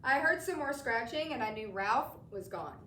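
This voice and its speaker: Expressive high-voiced woman reading a storybook. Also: normal speed. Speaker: storybook reader. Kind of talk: expressive children's read-aloud narration. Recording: roomy, distant, slightly noisy.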